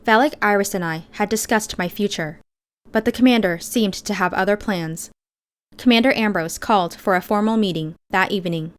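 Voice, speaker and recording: A woman's voice: medium pitch, normal speed. Woman narrating a fiction audiobook. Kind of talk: scripted first-person fiction narration.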